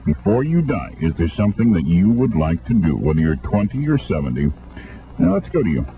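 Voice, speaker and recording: A man's voice: low voice, sing-song, fairly quick. A man hosting a live call-in radio show, addressing listeners and callers. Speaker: radio talk show host. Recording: tinny band-limited radio recording.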